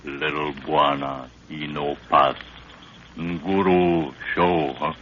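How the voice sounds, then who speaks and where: A man's voice: very deep voice, fairly even intonation, takes it slowly, a bit bright, exaggerated voice. A man playing a loyal, stoic African guide who speaks broken pidgin English in short phrases, in 1930s radio acting style. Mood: dutiful. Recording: dull, noisy 1930s radio recording.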